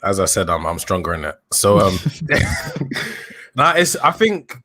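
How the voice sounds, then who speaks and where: A young man in his early twenties, a podcast host, calmly building his case in a casual football chat. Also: lowish voice, unhurried, no echo. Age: young adult. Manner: thoughtful, builds an argument with hesitations.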